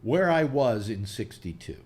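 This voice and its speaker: Host, man, eighties, animated and halting delivery. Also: medium pitch. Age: 80s. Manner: halting, many restarts, lively. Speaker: radio host. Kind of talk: casual panel chat.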